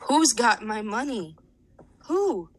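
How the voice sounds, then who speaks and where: A man voicing the ghost of a dead woman who wails with drawn-out, moaning cries in a read-aloud story. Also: extremely high pitch, sing-song, heavy background noise, pitched above the performer's own voice, full character voice. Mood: wailing.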